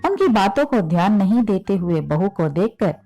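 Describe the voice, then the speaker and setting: A woman's voice: medium pitch, very animated, normal speed, dark tone, soft background music. A woman storyteller reading a scripted children's moral story.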